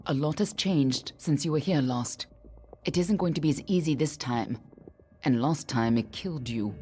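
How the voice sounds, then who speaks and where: An actress playing a stern, guarded warrior woman in a fantasy game, speaking in a cool, serious, measured way. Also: low voice, warm tone, a little hiss or hum, lightly acted. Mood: cool, firm. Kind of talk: dramatic fantasy game dialogue.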